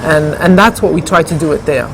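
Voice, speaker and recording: A woman's voice: sits low, fairly quick. A middle-aged woman from Ghana, answering interview questions in a thoughtful, hesitant way with many fillers. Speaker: interview guest, café co-founder. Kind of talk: conversational interview. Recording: noisy background.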